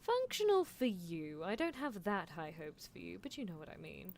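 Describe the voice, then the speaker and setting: A woman's voice: fairly low pitch, sing-song, moderate pace, gravelly, darkish tone, a little hiss or hum, pitched below the performer's own voice. A woman playing a playful, possessive young female mad scientist in an improvised ASMR roleplay, teasing her captive with clinical, mock-polite menace. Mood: possessive, insistent.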